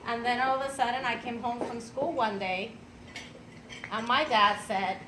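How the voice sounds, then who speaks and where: A woman, telling her personal story at an event in an unhurried way with fillers and light laughs. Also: fairly high pitch, fairly even intonation, noisy background. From Venezuela. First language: Spanish. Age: 50s. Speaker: speaker at an event. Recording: clattering dishes and cutlery behind.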